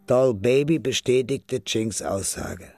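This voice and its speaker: Guy narrating crime radio drama, creaky mid voice, matter of fact, music behind. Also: medium pitch, slow.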